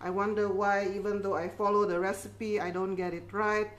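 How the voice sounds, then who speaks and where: A woman's voice: medium pitch, little pitch movement, moderate pace, dull audio. Chatty middle-aged woman teaching baking. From Philippines. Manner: encouraging, chatty, reassuring, with tag questions like okay. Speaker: home baking instructor and cooking show host. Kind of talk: casual cooking demonstration, code-switching chat.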